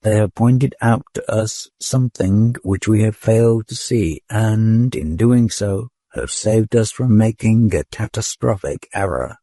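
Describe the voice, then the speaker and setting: A stern old keeper who barks curt orders and climbs high when he gets going, voiced by a male storyteller. His base voice is lowish and the recording dry. It is a storybook reading. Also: measured pace, hardly any pauses, dry recording. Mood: calm. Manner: curt, commanding, shouts orders.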